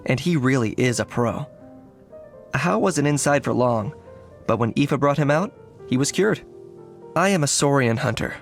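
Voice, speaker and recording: An actor playing an aloof young male hunter in a video game, with a measured, deadpan delivery full of dry understatement. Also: medium pitch, natural voice. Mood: dry, pragmatic. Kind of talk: scripted video game character voice lines. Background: background music.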